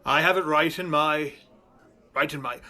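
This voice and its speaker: A man's voice: a little high, normal speed, pushed and bright. A man playing a befuddled, stammering middle-aged husband in a 1940s-style radio comedy. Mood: flustered. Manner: stammering, bumbling, exasperated.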